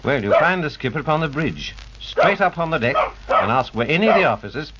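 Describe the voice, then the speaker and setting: Ship's steward, hearty, chuckling, vintage radio play, heavy noise, dull audio. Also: male voice, a little high, very animated, brisk. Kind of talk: 1940s radio acting. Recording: very noisy dull old radio recording.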